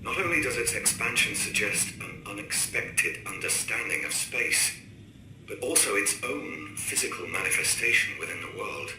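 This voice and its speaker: An actor playing a grave, worried male scientist recording a deliberate, ominous audio log in a game. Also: on the low side, expressive, pushed and bright. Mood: grave, measured. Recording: echoey room with faint noise.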